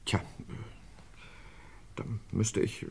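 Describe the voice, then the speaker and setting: A man's voice: extremely slow, a little mellow, in a whisper. A man playing a self-important, evasive young aristocratic nephew in a German radio crime play, polite and given to protesting.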